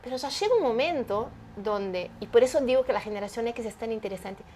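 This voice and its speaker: A woman's voice: fairly high pitch, animated, brisk, a little mellow. Woman, 50s, interview guest; emphatic and explanatory, uses rhetorical questions. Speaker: guest, entrepreneur. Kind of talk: animated interview conversation.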